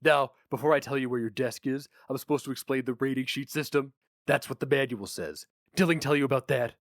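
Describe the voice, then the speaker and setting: A man voicing a brash, officious office boss in his thirties, brisk and condescending. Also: medium pitch, no echo, clean studio sound, pitched above the performer's own voice, natural voice. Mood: self-important. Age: 30s.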